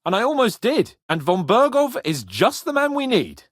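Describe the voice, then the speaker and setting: Young lord, high animated voice, pompous, boastful, spirited, medieval game character, dry close sound. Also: male voice, normal speed, dry recording, heavily acted. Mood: eager, confident. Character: cocky young Bohemian noble lord, boastful, foul-mouthed, eager. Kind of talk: video game voice acting.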